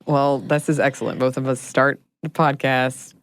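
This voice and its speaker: A woman co-hosting a podcast in casual chat, laughing often and sometimes dropping to a low murmur. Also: flat delivery, normal speed, smooth, no room sound. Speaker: podcast co-host.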